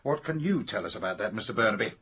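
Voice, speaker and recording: An actor playing a brisk, sceptical British police investigator in a radio mystery, formal and direct. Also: male voice, on the low side, expressive, a bit bright, slightly rough. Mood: pressing.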